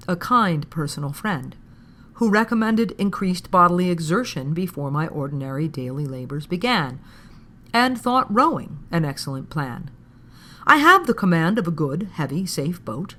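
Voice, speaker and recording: Woman, lowish pitch, audiobook-style reading, formal old-fashioned prose, measured and deliberate. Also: a bit bright. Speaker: podcast host reading aloud.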